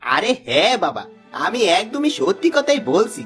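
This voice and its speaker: A man's voice: high-pitched, wildly swooping pitch, moderate pace, a bit bright, soft background music, full character voice. A man voicing a sly, smug middle-aged village swindler in a cartoon fable, with a wheedling, theatrical delivery. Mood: flattering, coaxing. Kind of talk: Bengali cartoon fable voice acting.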